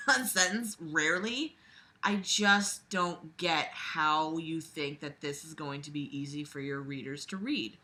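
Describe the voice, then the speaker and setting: A woman's voice: fairly low pitch, pushed and bright. A woman reading a book aloud and critiquing it casually, blunt, exasperated and sweary, with sarcastic commentary.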